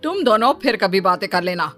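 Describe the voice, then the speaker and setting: A woman's voice: mid-range voice, expressive, fairly quick, fairly bright tone, soft background music, lightly acted. A woman voicing a stern, domineering rich middle-aged mother in a cartoon story, in a scolding, bossy manner. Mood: imperious.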